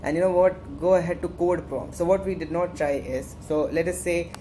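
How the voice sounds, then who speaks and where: A man's voice: on the high side, fairly even intonation, moderate pace. Man, Indian accent, casual tutorial instructor, repeats himself for emphasis, noisy background. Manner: conversational, repeats phrases for emphasis. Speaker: workshop instructor. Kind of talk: casual screen-share tutorial.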